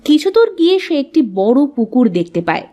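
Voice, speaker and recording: A woman's voice: sits high, sing-song, brisk, dark tone. A woman reading scripted narration for a cartoon story as its narrator.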